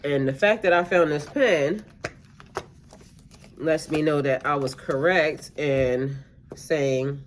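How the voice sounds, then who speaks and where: A woman's voice: low voice, slow, pauses often, a little hiss or hum. A middle-aged woman, a tarot reader, talking casually to her viewers in a meandering way with lots of fillers and restarts, her voice a little stuffy-nosed. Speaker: tarot reader and spiritual coach. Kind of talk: casual rambling monologue to camera.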